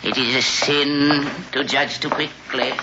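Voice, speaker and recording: An actor in a 1950s radio drama playing an old father and spy contact who speaks in slow, solemn, fatherly tones. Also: medium pitch, little pitch movement. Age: older. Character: old Tangiers spy contact, grave and measured. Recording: dull old radio recording with faint hiss.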